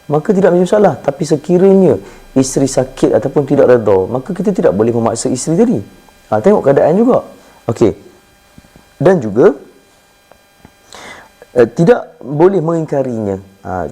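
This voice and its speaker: A man with a Malaysian accent giving an informal Islamic lecture in a slightly hoarse voice, conversational and didactic, as if troubled by a cough. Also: medium pitch, mellow. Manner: conversational and didactic, asking and answering own questions. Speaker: Islamic preacher.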